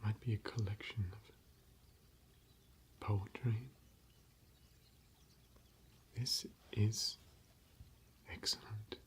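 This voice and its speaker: A man softly whispering as a gentle scholar of ancient elvish lore, in an ASMR roleplay. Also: fairly low pitch, steady tone, extremely slow, long pauses. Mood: hushed. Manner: soft whispering. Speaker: ASMR performer.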